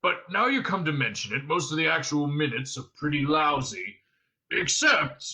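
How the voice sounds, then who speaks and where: Huge, dim, booming alien guard. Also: male voice, sing-song, bright, forceful tone, exaggerated voice. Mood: dim, sluggish. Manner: slow, dull-witted. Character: huge dim-witted male alien guard, bellowing and sluggish.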